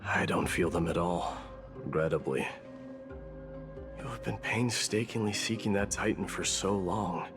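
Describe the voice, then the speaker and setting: A man playing a proud, stoic warrior prince in a fantasy game, speaking gravely and formally. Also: sits low, a little slow. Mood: grave, commanding. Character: proud, stoic warrior prince, demigod, resigned to his fate. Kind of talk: scripted fantasy game voice acting. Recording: music behind the voice.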